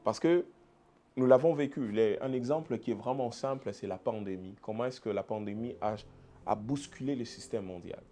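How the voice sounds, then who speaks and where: A man's voice: mid-range voice, moderate pace, warm tone. A man hosting an interview, asking a pastor long questions with hesitant restarts and murmuring approval while he listens. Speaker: interview host.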